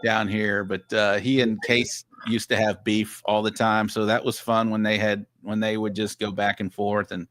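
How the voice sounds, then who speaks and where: A low-pitched man from Texas talks loosely over a video call, circling back with restarts and quick laughs. His voice sits fairly deep, and the sound is dry and close, with no room around it. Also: flat delivery, fairly bright tone, no echo. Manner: rambling with many restarts, laughs easily. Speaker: guest podcast host. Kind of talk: casual livestream chat. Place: home office.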